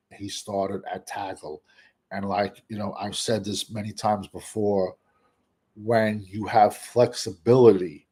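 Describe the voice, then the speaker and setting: A man hosting casual football draft talk, blunt and rambling, with what he calls a monotone voice. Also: lowish voice, husky. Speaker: draft analyst host. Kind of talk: casual draft commentary to camera.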